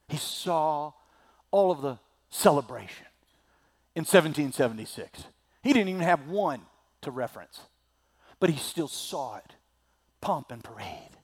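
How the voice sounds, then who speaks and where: Man, preacher, high voice, slightly scratchy, loud then hushed. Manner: dramatic swings from loud declaiming to low asides. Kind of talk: sermon.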